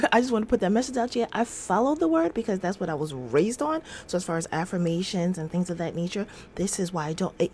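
A woman's voice: medium pitch, mellow, slight background noise. A middle-aged woman hosting a casual devotional livestream, reading aloud and adding informal, chatty asides. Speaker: livestream host. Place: small indoor room at home.

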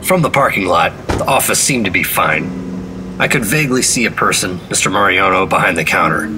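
A guarded, deadpan secret agent of the 1950s in a noir radio play. He has a low voice and clipped, terse phrasing, with music clearly audible behind him. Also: fairly quick. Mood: wary, deadpan. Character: wary, terse 1950s male secret agent narrating his case. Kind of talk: noir radio drama narration and dialogue.

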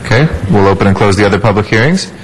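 A man in his thirties chairing a city council meeting from a desk microphone in a large chamber, brisk and procedural with relaxed fillers. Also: on the low side, slight background noise, low-quality recording. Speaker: council president.

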